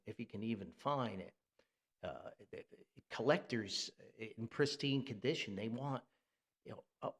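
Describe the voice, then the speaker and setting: Chatty New Jersey man, lively pitch. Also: creaky. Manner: enthusiastic, rambling, with frequent fillers and chuckles. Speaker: podcast host and music critic. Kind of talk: casual, unscripted podcast commentary.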